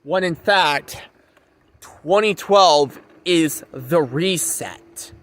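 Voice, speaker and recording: A man's voice: fairly high pitch, drawn-out words, a bit bright. A man giving a rambling, informal monologue to viewers, repeating his phrases for emphasis. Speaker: vlogger.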